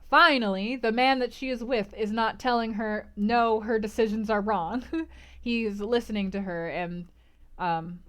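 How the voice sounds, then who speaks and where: Animated woman hosting a book club stream. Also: fairly high pitch, a little slow. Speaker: book club livestream host. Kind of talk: casual livestream discussion of a novel, answering chat.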